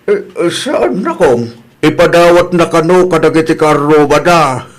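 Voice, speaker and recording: A man's voice: fairly high pitch, lively intonation, measured pace. An actor playing an easygoing older village man with a drawling, hesitant manner in an Ilocano comedy radio drama. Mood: puzzled.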